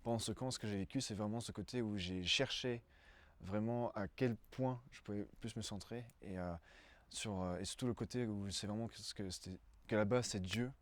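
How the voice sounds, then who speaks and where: A man's voice: fairly low pitch, moderate pace, dry recording. A man in his twenties from Brussels giving a casual interview testimony, hesitant and often searching for words. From Brussels, Belgium.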